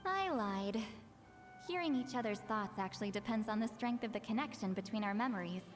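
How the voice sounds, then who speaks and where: A woman's voice: mid-range voice, animated, a little fast, lightly acted. A woman playing a proud, teasing young female shaman in a video game, self-assured and wry. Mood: teasing, smug. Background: game music.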